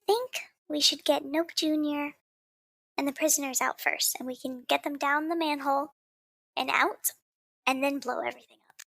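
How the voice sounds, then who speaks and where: Female player, high with vocal fry, decisive planner. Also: steady tone, normal speed, fairly bright tone, no room sound. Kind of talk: tabletop game table talk.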